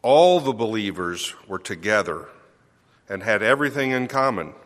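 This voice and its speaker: A man reading a scripture passage aloud in a slow, measured way. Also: lowish voice, animated, fairly bright tone. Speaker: scripture reader. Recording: church hall reverb.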